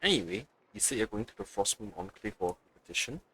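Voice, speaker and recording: A man's voice: on the low side, very animated, fast, bright, forceful tone, breathy edge. A man giving casual let's play commentary as he livestreams a video game. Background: faint game music.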